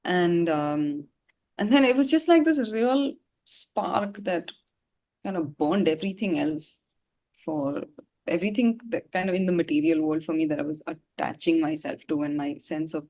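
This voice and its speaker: Low-pitched woman, candid and reflective. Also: moderate pace, a little mellow. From India. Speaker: musician being interviewed. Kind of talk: personal storytelling in an interview.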